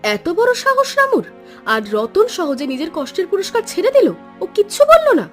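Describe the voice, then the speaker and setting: A woman playing a landlord's concerned, fair-minded young daughter in an animated children's story, questioning and emphatic. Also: very high voice, moderate pace, faint music behind, heavily acted. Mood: shocked.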